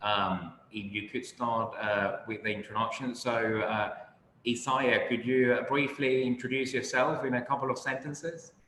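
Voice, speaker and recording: A man's voice: mid-range voice, flat delivery, unhurried, fairly bright tone. A man moderating a webinar politely and informally, handing over to each speaker. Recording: roomy, noisy web call.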